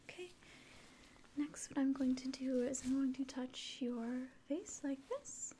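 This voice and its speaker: A woman in her twenties speaks in a soft, breathy whisper, high and mellow. She gently guides the listener through an ASMR exam roleplay at close range. A faint hiss of room noise sits behind her. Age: 20s. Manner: gentle, slow, instructing. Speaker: ASMR performer playing an alien doctor giving an exam. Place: small room indoors.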